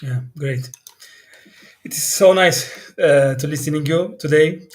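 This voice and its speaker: A man's voice: a little high, a little slow. A man whose first language is Turkish, hosting a live video-call interview and asking questions hesitantly with many fillers. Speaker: interviewer, host.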